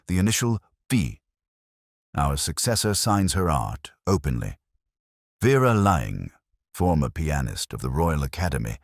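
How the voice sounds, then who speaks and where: A man voicing a brilliant, intense gentleman detective in an audiobook, speaking in a deliberate, theatrical and incisive way. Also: low-pitched, dry recording, studio quality, heavily acted.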